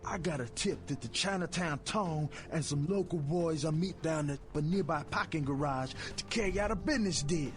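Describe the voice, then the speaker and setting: A man voicing a slick, high-voiced street hustler. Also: moderate pace, few pauses, soft background music, lightly acted. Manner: slangy streetwise hustler patter. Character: fast-talking sleazy heavyset male street fence. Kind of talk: video game character dialogue.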